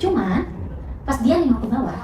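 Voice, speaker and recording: A woman's voice: sits high, big pitch swings, normal speed, echoey room, recorded from a distance, a crowd in the background. A young woman, a student casually telling a spooky story on a podcast, with lots of fillers and restarts. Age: young adult. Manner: chatty, many fillers and restarts.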